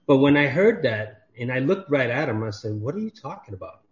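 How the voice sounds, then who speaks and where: A man telling stories in a relaxed chat, acting out each side of the dialogue with animated emphasis. He talks at an ordinary pitch, and the recording sounds a little dull and lo-fi. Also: a little fast. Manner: animated storyteller, acts out dialogue. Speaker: podcast guest. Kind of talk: casual chat. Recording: dull lo-fi audio.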